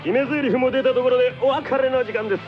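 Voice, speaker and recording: A man's voice: very high voice, steady tone, fast talker, pitched above the performer's own voice. A man playing a slick, over-familiar radio DJ in a comic drama, with exaggerated announcer patter sprinkled with English words. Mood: excited. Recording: slightly dull audio with music behind.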